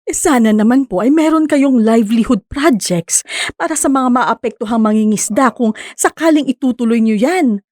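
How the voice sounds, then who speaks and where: An actress as an outspoken, higher-voiced woman boat owner. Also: normal speed, darkish tone, no room sound, studio quality, lightly acted. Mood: firm, objecting. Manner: assertive yet polite. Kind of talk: radio drama dialogue.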